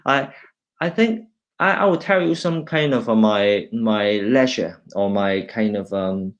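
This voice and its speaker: Hong Kong academic, middle-aged man, low voice, halting, restarts often, mixes English and Cantonese. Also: highs cut off. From China, raised in Hong Kong. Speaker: university lecturer, guest speaker. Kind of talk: informal talk presenting slides.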